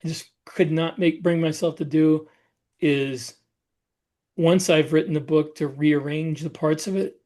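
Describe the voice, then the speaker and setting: Higher-voiced man in casual chat. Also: fairly even intonation, a little slow, a little mellow. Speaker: podcast co-host and writer.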